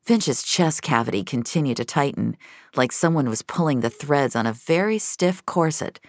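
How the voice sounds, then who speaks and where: A woman's voice: deep voice, lively intonation, moderate pace, no room sound, studio quality. A woman narrator reading scripted fiction in a dramatic storytelling style.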